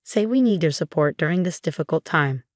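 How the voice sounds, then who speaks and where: Woman as vengeful protective mother, calm, determined, pointed, dramatic audio story, dry sound. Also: low voice, brisk, dry recording. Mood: calm, commanding.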